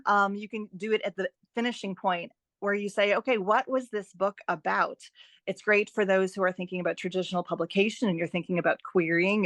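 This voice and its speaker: A woman's voice: mid-range voice, fairly bright tone, no room sound. Woman giving expert advice, close dry sound. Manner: emphatic, explanatory, uses rhetorical questions. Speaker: guest editing expert and author. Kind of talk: conversational advice interview.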